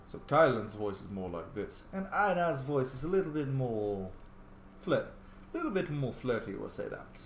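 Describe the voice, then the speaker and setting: A man's voice: mid-range voice, very animated, normal speed. Guy doing a casual game playthrough, really up and down voice, roomy echo. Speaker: roleplaying gamer, host of the playthrough. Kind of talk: casual game playthrough with in-character line reading. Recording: echoey room with faint noise.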